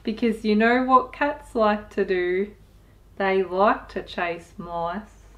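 A woman in her thirties reading a picture book aloud to young children, expressive and deliberate, as a storytime host. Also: medium pitch. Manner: expressive, deliberate, with sound effects and asides to listeners.

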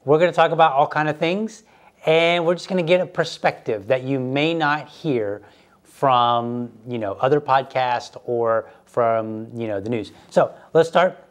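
A man's voice: medium pitch, a little slow. A middle-aged man hosts a podcast interview. He speaks conversationally into a lapel mic in an indoor room, putting questions to his guest. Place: indoor room with a fireplace, seated.

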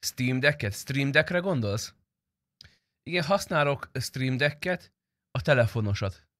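A man's voice: medium pitch, animated, fairly bright tone, no room sound. Dry-sounding casual man streaming. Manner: informal, slangy, swearing. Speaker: game streamer. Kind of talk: casual livestream chat.

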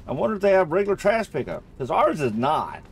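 Excitable high-pitched man commentating on a game. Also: quick pace, a little hiss or hum. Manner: excitable, chatty, thinking aloud. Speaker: gamer recording a play-through. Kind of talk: casual gameplay commentary.

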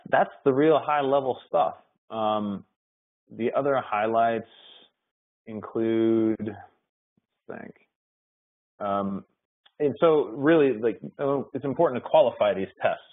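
A man presenting benchmark results at an online meetup, talking in a halting way with many fillers and restarts. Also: lowish voice. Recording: tinny phone-like web call audio.

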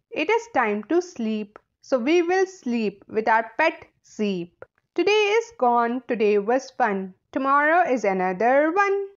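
Very animated high-voiced woman reading to children. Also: lo-fi sound. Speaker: storyteller reading aloud. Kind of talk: animated children's picture book read-aloud.

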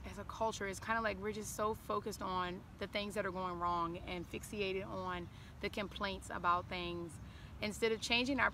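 A middle-aged woman speaks at an average pitch in an informal address, part talk and part reading. She is outdoors at close range to the camera, with clear noise behind her. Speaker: former school counselor, guest. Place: outdoors on an open lawn. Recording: clearly noisy outdoor recording.

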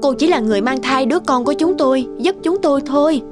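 Glamorous, self-absorbed cartoon wife , female actor: high, breezy, insistent delivery over music. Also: fast talker, close to an everyday voice. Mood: breezy, businesslike. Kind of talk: Vietnamese cartoon voice acting. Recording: music behind the voice.

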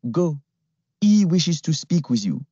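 A man's voice: fairly high pitch, big pitch swings, slow pace, warm tone, breathy edge, no room sound, lo-fi sound, voice pushed up, heavily acted. A man voicing a stern young French woman who gives cold, clipped commands in a French accent. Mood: coldly commanding.

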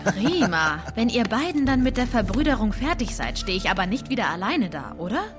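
A plucky female adventurer in an adventure game. She is dry and skeptical, with an animated, slightly high voice and music clearly playing behind her. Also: fairly quick. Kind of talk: German game dub acting.

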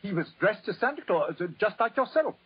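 Husky, deferential English butler. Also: male voice, sits high, animated, brisk, full character voice. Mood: apologetic. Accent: British. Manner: deferential, formal. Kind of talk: 1940s radio drama acting. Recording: muffled 1940s radio recording.

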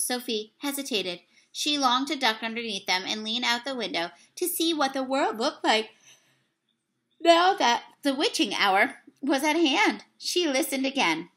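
A young woman, a teacher, reading a children's book aloud at home into a webcam, building suspense and pausing to correct slips. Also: high voice. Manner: expressive storytelling, swells and hushes for suspense; apologizes for slips and losing her place. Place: small room at home, plain wall behind. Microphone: webcam at desk distance.

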